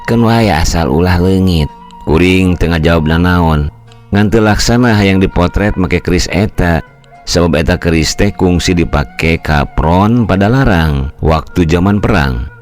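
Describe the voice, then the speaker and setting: An older man tells a folk story in the first person. His delivery is steady and measured, and his voice sits low and deep. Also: faint music behind.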